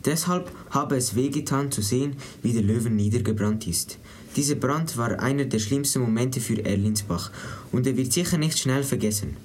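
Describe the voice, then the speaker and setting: A man's voice: medium pitch, moderate pace, darkish tone, slight background noise. Casting: male teen student reporter, mid-range voice, reading scripted narration. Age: teenager.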